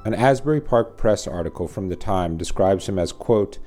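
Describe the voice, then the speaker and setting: Male host-narrator, low and mellow tone, dry humor in a scripted read. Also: animated, moderate pace, soft background music. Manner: wry, dry asides.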